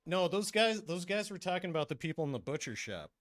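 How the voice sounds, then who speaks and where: A young man livestreaming a game, chatting casually and swearing freely, his voice going hoarse after hours of talking. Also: high-pitched, fast talker, dry recording, studio quality. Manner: swears freely, laid-back, bursts into excitement. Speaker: streamer. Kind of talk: casual gaming livestream chat.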